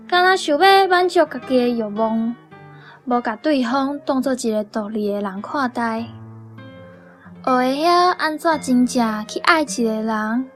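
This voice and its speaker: Slow, higher-pitched young Taiwanese woman reading. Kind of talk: reading a personal essay aloud.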